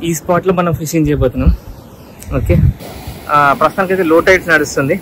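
Excitable man in his thirties, slightly high voice, vlogging on seaside rocks, steady wind and surf noise on the camera mic. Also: fast talker, rough recording. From Telangana. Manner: excitable, switches into English phrases. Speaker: fishing vlogger. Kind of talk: casual vlog narration. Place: rocky seashore at night, outdoors.

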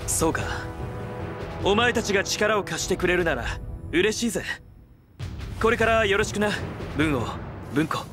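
An actor playing a young warlord in a video game, informal and reluctant yet resolute. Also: male voice, sits high, lively intonation, moderate pace, long pauses. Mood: easygoing, decisive. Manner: informal, laid-back yet earnest. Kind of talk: dramatic Japanese video game cutscene acting. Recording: studio voice over loud game music.

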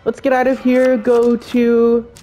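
A man's voice: very high-pitched, monotone, warm tone, faint music behind, rough recording. A man with a cold and a congested voice, hosting a step-by-step game build tutorial as a voice-over. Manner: slow, plain instruction-giving voice-over.

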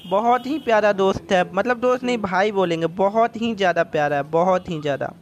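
High-voiced young man vlogging eagerly. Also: a little fast, a little hiss or hum. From India. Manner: chatty, enthusiastic, keeps addressing viewers. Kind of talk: casual vlog narration. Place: outdoor restaurant terrace at night.

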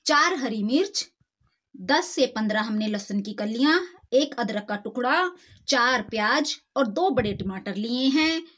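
A woman's voice: on the high side, expressive, a little slow, fairly bright tone. A woman hosting a cooking channel, plainly explaining each step of a recipe as she cooks in her home kitchen. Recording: clear kitchen cooking noise. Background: sizzling and stirring in a pan.

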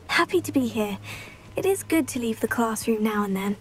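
An actress playing a calm, obliging teenage witch in a video game, speaking politely and with composure. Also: a little high, moderate pace, natural voice. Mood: agreeable. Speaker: player character in a fantasy video game. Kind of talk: scripted game dialogue. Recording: prominent game music behind the voice.

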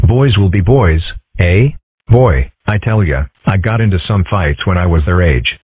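A man's voice: sits low, lively intonation, measured pace, slightly rough, no room sound, narrow, tinny audio, full character voice. A man voicing a brash, belligerent used-car salesman father, dismissive and blustering, in a cartoon comic dub. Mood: breezy.

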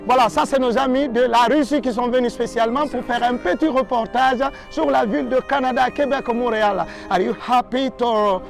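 Man, very high gravelly voice, exuberant street tour host, music behind. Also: brisk, few pauses. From Guinea. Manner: exuberant, loud exclamations, switches between French and English. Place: outdoors on a city lawn and plaza. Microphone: handheld camera, medium shot. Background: background music.

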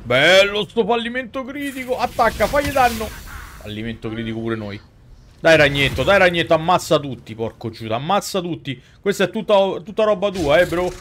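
A man's voice: sits high, expressive, normal speed, a bit bright, slight background noise. A man in his thirties with a Roman accent giving slangy, exclamatory live commentary as a game streamer, talking to his chat and characters. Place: indoors at a streaming desk. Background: game music.

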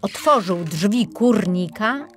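Slow-paced woman narrating a fairy tale. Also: mid-range voice, lively intonation.

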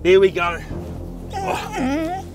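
A man hosting a fishing show outdoors, joking and laughing in a cheeky, excitable way. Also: very high voice, drawn-out words. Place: outdoors in bush and hills. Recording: outdoor clip with loud music over it.

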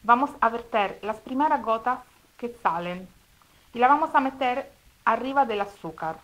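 An Italian woman with an Italian accent speaking Spanish as a second language, demonstrating a recipe step by step at home in a careful, slightly halting way. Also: fairly high pitch, lively intonation, a bit bright, slight background noise, poor audio. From Italy. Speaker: cooking video host. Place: home kitchen table.

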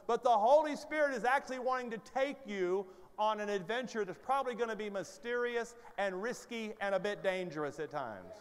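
A man in his fifties preaching a sermon on a church stage with a headset mic, moving from conversational storytelling to urgent exhortation as he paces and gestures. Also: sits high. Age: 50s. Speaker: pastor. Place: large church stage with hall reverb. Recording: hall reverb from large sanctuary.

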